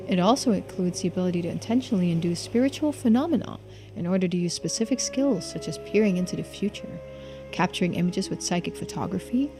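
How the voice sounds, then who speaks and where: A woman game streamer reading game text aloud, with bits of casual commentary. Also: lowish voice. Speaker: streamer playing and voicing a game.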